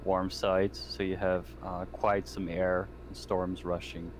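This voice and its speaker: Low-voiced man over clearly noisy voice chat. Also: flat delivery, slow pace. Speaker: player in a tabletop roleplaying game. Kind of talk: casual online game chat. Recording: noisy voice chat with background hum.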